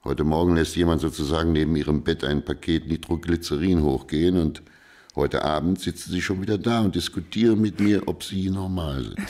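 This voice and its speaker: An actor playing a blunt, unsentimental middle-aged private detective in a radio drama, brusque and matter-of-fact. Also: male voice, low-pitched, darkish tone.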